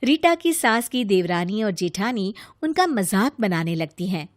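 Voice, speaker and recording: A woman's voice: medium pitch, big pitch swings, measured pace, no echo. A woman narrator reading a scripted story.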